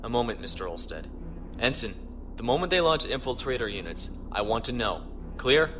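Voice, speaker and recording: An actor playing a calm, commanding starship captain in a measured, authoritative way for an audio drama. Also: male voice, mid-range voice, a little hiss or hum.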